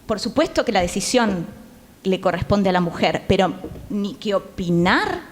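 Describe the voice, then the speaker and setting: A woman's voice: medium pitch, expressive, normal speed. A woman with an Argentine accent speaking as a panel guest in conversational style, searching for her words. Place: theatre, live panel. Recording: reverberant theatre hall.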